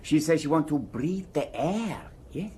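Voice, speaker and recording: An actor playing a deferential Italian chauffeur with an Italian accent, speaking hesitantly and politely in broken grammar. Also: male voice, a little high, expressive, a little slow, warm tone, slight background noise. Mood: polite, guarded. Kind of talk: radio drama acting.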